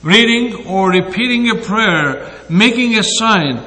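An older man with a Greek accent preaches a sermon in a fairly high voice, pausing often and hesitating as he goes. His words come slowly and deliberately. The sound has a long, hall-like reverb around it. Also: lively intonation, bright, forceful tone. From Greece. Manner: slow and deliberate, with frequent hesitations.

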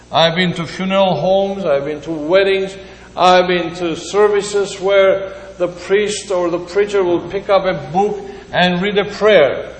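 An older man with a Greek accent preaches a sermon in a fairly high voice, pausing often and hesitating as he goes. His words come slowly and deliberately. The sound has a long, hall-like reverb around it. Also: fairly bright tone. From Greece. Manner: slow and deliberate, with frequent hesitations.

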